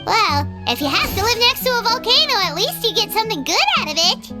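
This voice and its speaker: A woman voicing a tiny, chirpy female fairy companion with a squeaky voice and an excitable, food-loving manner, in game audio with loud music behind. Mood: wry. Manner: excitable, quick to gush about food. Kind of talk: game voice acting.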